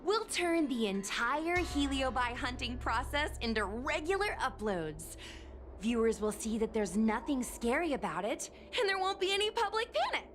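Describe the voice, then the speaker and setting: A woman voicing an upbeat, excitable young woman who streams online, chatty and eager in a game cutscene. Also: high-pitched, moderate pace, a little hiss or hum. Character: bubbly young woman street performer turned online streamer. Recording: game cutscene with music behind.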